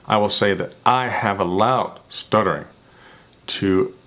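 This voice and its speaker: A man with a somewhat low voice talks unhurriedly, stretching out his phrases. He stutters, repeating first sounds and restarting words, and speaks in an earnest, reflective way to the camera. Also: a bit bright. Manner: measured, earnest, self-reflective. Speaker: vlogger.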